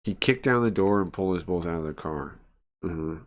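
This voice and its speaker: A man reading and translating a visual novel aloud on a stream in a halting, informal way, often searching for words and chatting along. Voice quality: low-pitched voice. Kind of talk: casual live read-through, translating and chatting.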